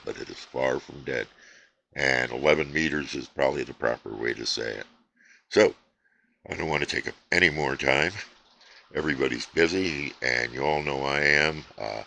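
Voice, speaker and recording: A man casually chatting to camera about his radio hobby, rambling and relaxed with frequent fillers and restarts. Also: rumbling low, vocal fry, a little hiss or hum. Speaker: CB radio hobbyist and channel host. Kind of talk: casual unscripted vlog chat.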